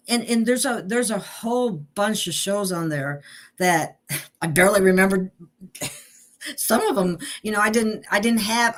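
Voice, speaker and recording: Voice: medium pitch, fairly bright tone. A show host chatting casually, rambling and laughing often between remarks. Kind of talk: casual online chat.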